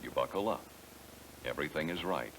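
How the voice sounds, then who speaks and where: An American man giving measured, explanatory scripted narration that addresses the listener directly, on a 1970s training film soundtrack. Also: a bass voice, lively intonation, background noise.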